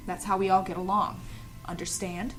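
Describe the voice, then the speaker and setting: A woman's voice: medium pitch, flat delivery, normal speed, over music, close to an everyday voice, low-quality recording. A woman playing a strict, self-righteous trainer of children in an audio drama table read, stern and lecturing.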